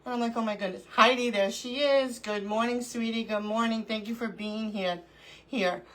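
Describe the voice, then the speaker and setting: A woman's voice: on the high side, fairly even intonation, moderate pace, rough recording. A middle-aged woman hosting a casual craft livestream, stuffy and sniffling from allergies, chatting with viewers and greeting them by name. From New York.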